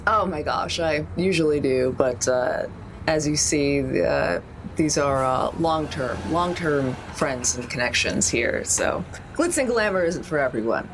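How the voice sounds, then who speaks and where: A woman playing a glamorous, smooth-talking celebrity artist in a tabletop roleplay, charming, theatrical and a little condescending. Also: sits low, animated, unhurried, a little hiss or hum. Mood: airy, gracious. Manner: gushing, theatrical.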